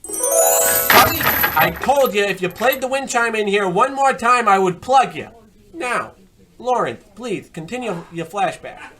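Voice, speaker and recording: An actor playing a brisk, surly diner cook in a comic radio play, brusque and matter-of-fact. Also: mid-range voice, expressive, music in the background, close to an everyday voice. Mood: irritated.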